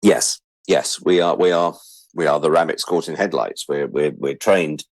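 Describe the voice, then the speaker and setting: A man's voice: rumbling low, normal speed, gravelly, dry recording. A middle-aged English man chatting reflectively as an interview guest, wry and self-deprecating. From England. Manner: wry, self-deprecating, acts out imagined quotes. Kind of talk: reflective casual chat.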